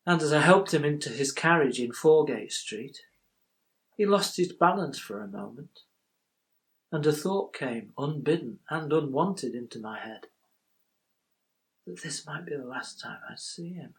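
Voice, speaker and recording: A loyal Victorian bank clerk in a period stage monologue. He tells his tale with measured gravity and tender feeling, in a dramatic storyteller's delivery. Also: on the high side, lively intonation. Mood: sorrowful, tender.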